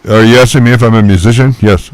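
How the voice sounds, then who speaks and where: A man's voice: fairly low pitch, fairly even intonation, quick pace, crowd noise. A man in casual radio chat, telling stories animatedly with loose, mildly profane slang. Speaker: musician chatting on CB radio.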